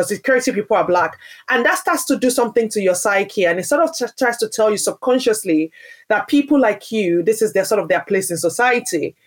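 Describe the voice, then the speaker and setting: A woman from Nigeria answering interview questions, speaking earnestly and emphatically about her career, often restarting her phrases. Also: medium pitch. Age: adult, parent. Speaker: podcast guest, business founder. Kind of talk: interview answer, personal story.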